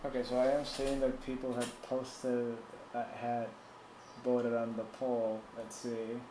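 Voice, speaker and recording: Slow, easygoing man. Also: medium pitch, monotone, echoey room, background noise. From Puerto Rico. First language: Spanish. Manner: loose, thinks aloud, reads and answers chat. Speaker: tech streamer. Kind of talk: casual live-stream talk.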